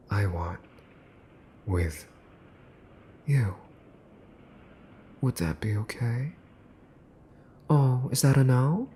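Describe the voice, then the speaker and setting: A man playing a possessive, teasing young mafia boss's son in an ASMR roleplay, smug and taunting, slipping into breathy whispers. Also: fairly low pitch, darkish tone, a little hiss or hum. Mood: possessive, playful. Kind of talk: ASMR boyfriend roleplay acting.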